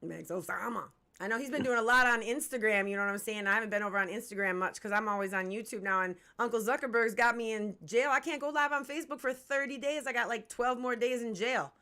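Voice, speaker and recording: A woman's voice: a little high, fast talker, few pauses, sharp tone, smooth. A woman in her forties hosting a casual hip-hop interview livestream, chatty, candid and slangy, swearing freely. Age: 40s. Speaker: host of a music review livestream.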